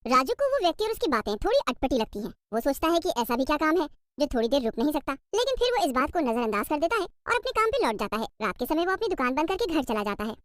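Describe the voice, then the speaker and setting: A woman's voice: high voice, very animated, fast, hardly any pauses, no room sound, studio quality. A woman reading scripted narration for a cartoon story.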